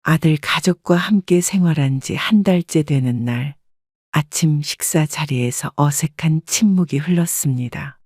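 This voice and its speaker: Older woman narrator, calm measured first-person storytelling, dry close sound. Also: low-pitched, no echo.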